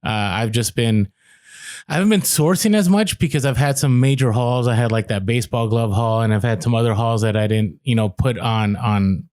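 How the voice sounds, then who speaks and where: A man in his forties co-hosting a podcast, chatting casually, animated and laughing, often restarting his sentences. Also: medium pitch, moderate pace, no room sound, studio quality. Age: 40s. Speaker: podcast co-host. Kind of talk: casual chat.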